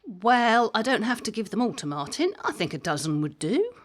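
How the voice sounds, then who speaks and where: A woman's voice: fairly high pitch, sing-song, normal speed. A woman playing a wry, boozy home winemaker in a comic audio drama, with a dry, cheeky way of talking. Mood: dry joking.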